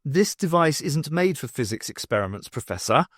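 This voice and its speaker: A man's voice: on the high side, big pitch swings, normal speed, fairly bright tone, no echo, studio quality. A man playing a nervous, self-important artist in an audiobook, hesitant yet pompous. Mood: proud, pompous. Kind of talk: audiobook character dialogue.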